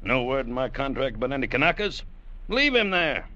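An actor playing a callous, money-minded schooner captain in a radio drama, sardonic and dismissive. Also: male voice, medium pitch, very animated, a little fast, bright, forceful tone. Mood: mocking, dismissive. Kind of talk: 1940s-50s radio drama acting. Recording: dull, hissy old radio broadcast.

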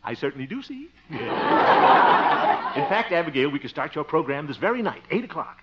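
A man's voice: a little high, big pitch swings, a little fast. An American actor playing a blustering, scheming middle-aged man in a 1950s radio sitcom, chuckling and muttering asides. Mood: eager flattery. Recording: muffled, noisy old 1950s radio recording.

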